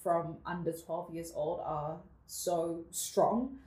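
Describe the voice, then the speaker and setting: A woman's voice: on the low side, fairly even intonation, takes it slowly, a little mellow, roomy sound, poor audio. A woman from the Netherlands in her twenties, a guest telling her life story in a casual interview, reflective, laughing often and using many fillers.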